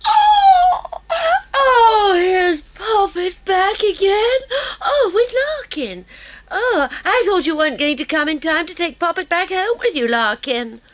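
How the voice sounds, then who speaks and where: Fussy, gushing, very high-voiced lady. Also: big pitch swings, takes it slowly, no room sound, full character voice. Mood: squealing, relieved. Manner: effusive, fluttery, cooing. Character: fussy, gushing wife doting on her poodle.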